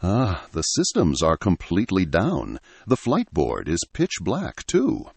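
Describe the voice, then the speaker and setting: A weary, practical stranded pilot in an audiobook adventure, played by a man. The voice is low and husky, with creak in it and lively swings of pitch. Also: a little slow, no room sound, exaggerated voice. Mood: uneasy.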